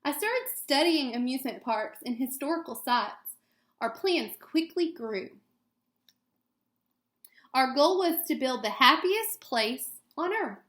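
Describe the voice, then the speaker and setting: A woman with a fairly high voice reads a children's book aloud like a schoolteacher. Her delivery is expressive and aimed at young listeners, and the room adds a clear echo around her words. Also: a little slow. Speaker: elementary school teacher. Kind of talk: reading a children's picture book aloud with asides to students. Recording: echoey room sound.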